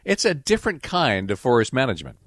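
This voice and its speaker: A man hosting and narrating a radio nature program in a clear, storytelling delivery. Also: mid-range voice, big pitch swings, fast, dry recording, clean studio sound. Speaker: radio show host and narrator.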